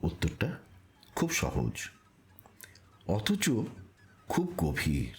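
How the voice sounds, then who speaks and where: A man delivering a scripted spiritual narration in a solemn, deliberate manner, with rhetorical pauses. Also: low-pitched, wildly swooping pitch.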